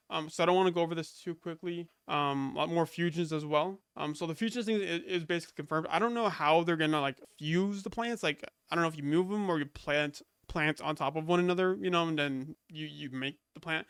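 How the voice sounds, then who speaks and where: A man's voice: on the high side, fairly bright tone, clear, resonant tone, dry recording, studio quality. A young man recovering from a cold, rambling casually with lots of fillers as he goes over game leaks. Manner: rambling, many fillers and restarts. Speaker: gaming YouTuber. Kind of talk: casual commentary reviewing leaks.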